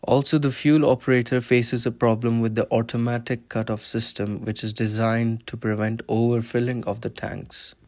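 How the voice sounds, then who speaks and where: Man, medium pitch, little variation in intonation, scripted documentary narration, explanatory. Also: flat delivery, moderate pace, few pauses, darkish tone.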